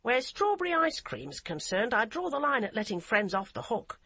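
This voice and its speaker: A woman's voice: on the high side, sing-song, moderate pace, bright, forceful tone, husky, voice pushed up, exaggerated voice. A woman voicing a wry, teasing grown woman who jokes her way around a child's questions. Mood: fond.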